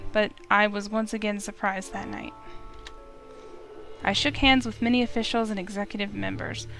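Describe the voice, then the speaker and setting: A woman's voice: a little high, a bit bright. A woman playing an earnest, anxious young heiress, narrating her own story in a visual novel. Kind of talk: scripted first-person visual novel narration.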